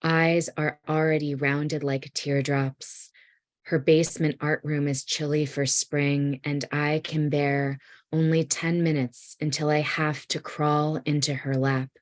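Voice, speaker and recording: A woman reading a poem aloud in a measured literary style. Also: sits low, level tone, no echo. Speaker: poet reading own work.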